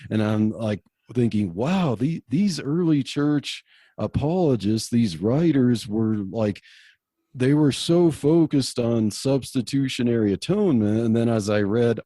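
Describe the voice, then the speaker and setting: A man's voice: medium pitch, expressive, measured pace, mellow, no room sound, clean studio sound. A man co-hosting a podcast, explaining theology in a casual, eager way with some stammering. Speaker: podcast co-host.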